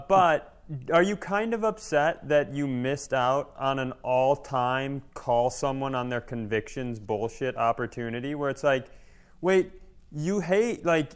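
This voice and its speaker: A man's voice: mid-range voice, clear, resonant tone. A man co-hosting a podcast in casual chat, putting rambling, teasing questions to the guest. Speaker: podcast host.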